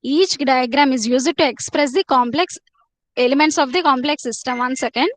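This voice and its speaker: High-voiced woman teacher coaxing online students. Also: normal speed, no room sound. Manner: repetitive, coaxing students to answer. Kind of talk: live online class lecture.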